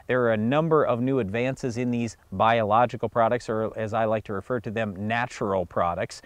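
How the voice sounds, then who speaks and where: A man's voice: on the low side, fairly even intonation, moderate pace, dry recording. A middle-aged man from the United States hosting a farm show, explaining steadily and plainly, outdoors in a field on a lapel mic. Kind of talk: scripted educational farm talk.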